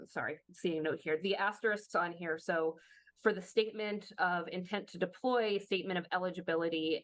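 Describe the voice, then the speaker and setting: A woman with a fairly low voice, slightly hoarse, walks through slides in a workshop presentation. She explains each step carefully, with many fillers and restarts. The sound is dry and close, as on a video call. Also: dry recording. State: slightly hoarse, losing her voice.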